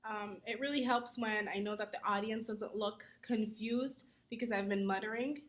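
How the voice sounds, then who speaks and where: A young adult woman, a student, giving earnest answers in a mock interview, often pausing on "um". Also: mid-range voice, fairly even intonation. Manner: earnest, many "um" fillers; says she talks fast when nervous.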